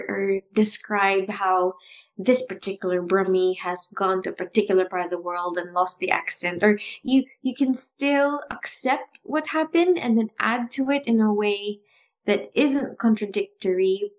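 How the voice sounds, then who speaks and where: A woman co-hosting a casual talk about improv, animated and often restarting her sentences with a laugh. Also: mid-range voice, rough recording. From Philippines. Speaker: improv podcast co-host. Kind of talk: casual chat.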